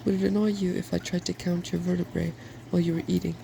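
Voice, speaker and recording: A man voicing an eager, bossy, scholarly young woman in a soft-spoken ASMR storybook reading, with precise, instructive phrasing. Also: high-pitched, little pitch movement, fast, vocal fry, a little hiss or hum. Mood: delighted.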